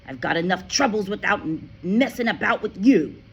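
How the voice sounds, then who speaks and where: A woman's voice: fairly high pitch, very animated, moderate pace, fairly bright tone, slight background noise, pitched above the performer's own voice. A woman voicing an impatient, bullying middle-aged father who snaps and barks orders. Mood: angry barking. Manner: barking, snapping orders.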